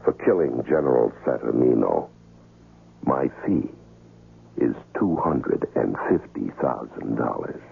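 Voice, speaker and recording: An actor playing a shrewd, world-weary hired assassin in a 1970s radio drama, terse and tough, with an American accent. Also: male voice, extremely low pitch, lively intonation, a little slow, creaky, a little hiss or hum, full character voice. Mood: hard bargaining. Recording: thin, phone-like vintage radio, music.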